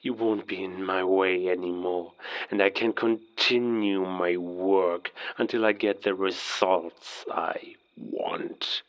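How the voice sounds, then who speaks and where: An actor playing a cold, taunting werewolf villain in a cinematic audio drama, speaking deliberately and threateningly through a radio filter. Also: male voice, sits low, steady tone. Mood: cold menace. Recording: muffled lo-fi military radio transmission.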